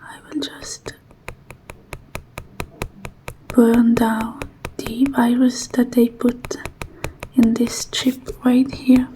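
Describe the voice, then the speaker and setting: A woman playing a calm, self-assured hacker in an ASMR roleplay, hushed and reassuring. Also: on the high side, slow, slight background noise, rough recording. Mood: focused, soothing.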